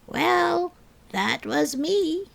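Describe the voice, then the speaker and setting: A woman voicing a calm, kindly older woman neighbour, gentle, soothing and encouraging, in a children's storybook. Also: extremely high pitch, drawn-out words, creaky, pitched above the performer's own voice, full character voice. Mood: amused. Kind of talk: children's storybook read-aloud.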